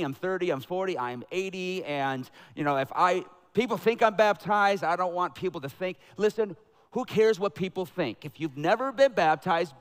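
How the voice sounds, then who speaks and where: Man, pastor, middle-aged, higher-pitched, energetic sermon delivery, headset mic in a church. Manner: energetic, conversational preaching that builds to loud emphatic peaks. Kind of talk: sermon with announcements and prayer. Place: church sanctuary.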